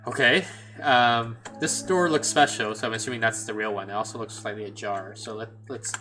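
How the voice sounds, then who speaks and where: A man's voice: lowish voice, steady tone, a little fast, sharp tone. A man streaming a game, thinking aloud in a chatty way with many restarts. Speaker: gameplay streamer. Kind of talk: casual let's play commentary. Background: game music.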